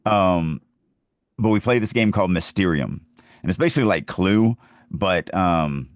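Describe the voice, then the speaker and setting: Man, low-pitched voice, dry recording, casual podcast talk, informal, opinionated, writing teacher. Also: expressive, measured pace, no echo. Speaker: podcast host, fantasy author and writing teacher. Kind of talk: casual conversational writing advice.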